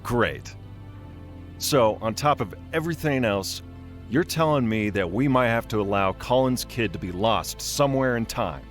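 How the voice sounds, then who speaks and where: A man's voice: medium pitch, expressive, normal speed, faint music behind, natural voice. A man playing a harried, exasperated corporate boss giving orders in an audio drama.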